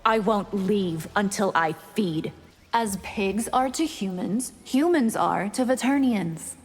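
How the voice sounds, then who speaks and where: Vampire, predatory, haughty, breathy-rough, medium pitch, game dialogue. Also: female voice, animated, slow pace, long reverb tail, full character voice. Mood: haughty, hungry. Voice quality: husky. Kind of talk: fighting game character dialogue.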